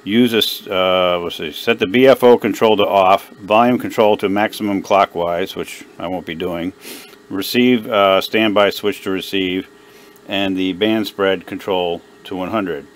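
A man with a deep voice talks through a hobby repair at his workbench. His delivery is unscripted and halting, with hesitations as he works things out aloud. He sounds like a hobbyist demonstrating hands-on work. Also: steady tone. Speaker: hobbyist radio repairer presenting his own video. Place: indoor workbench.

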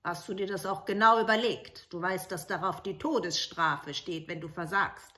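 A stern fairy-tale king, voiced by a woman storyteller, grave and commanding, with a bright, forceful tone. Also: fairly low pitch, moderate pace. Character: stern old king, father of twelve princesses.

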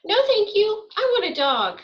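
A woman's voice: extremely high pitch, big pitch swings, moderate pace, highs cut off, pitched above the performer's own voice. A woman acting out a stubborn, exasperated young child in a storybook read-aloud, with emphatic, insistent delivery. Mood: insistent, upset.

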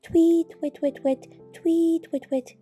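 A woman's voice: very high voice, fairly even intonation, slow, warm tone, voice pushed up. A woman voicing cheerful little songbirds in a children's storybook, in lilting singsong rhyme. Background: background music.

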